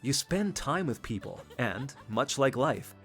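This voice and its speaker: A man's voice: mid-range voice, very animated, unhurried, fairly bright tone. A man reading a scripted video essay about a game, enthusiastic with casual, wry asides. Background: background music.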